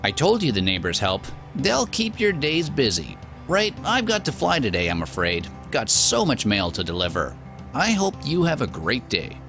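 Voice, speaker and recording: Chummy small-town postman, highly animated pitch, gravelly fry, encouraging, video game character. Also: moderate pace. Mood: friendly, brisk. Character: friendly, busy small-town mailman. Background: background music.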